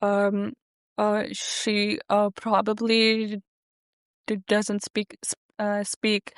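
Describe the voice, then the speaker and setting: A young adult woman who stutters, speaking haltingly with many fillers as an interview guest. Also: mid-range voice, monotone, smooth, no room sound, clean studio sound. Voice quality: stutter with repeated sounds and blocks. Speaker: guest, software engineer. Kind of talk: conversational interview.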